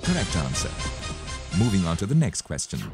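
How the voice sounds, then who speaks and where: Male quiz show host, low pitch, lively intonation, measured question reading, music underneath. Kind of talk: scripted quiz show presenting.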